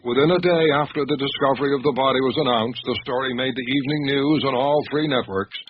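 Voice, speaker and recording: An actor playing an anxious, literate male doctor who tells an eerie mystery in a radio drama, with measured, expressive delivery. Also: medium pitch, close to an everyday voice. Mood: wry, measured. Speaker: narrator and lead character. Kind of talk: first-person radio drama narration and dialogue. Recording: noisy, dull radio drama recording.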